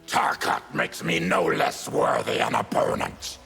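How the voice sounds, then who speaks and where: An actor playing a proud, menacing monstrous warrior with a guttural growl, in a fighting game. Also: male voice, unhurried, bright, forceful tone. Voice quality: guttural, gravelly. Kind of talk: fighting game character dialogue.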